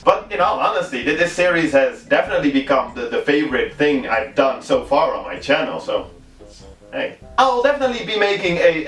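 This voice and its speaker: Dutch guy doing a casual movie review, kinda high voice, really up and down, chatty, bit wry. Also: a bit bright, rough recording. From Netherlands. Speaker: video host reviewing horror films.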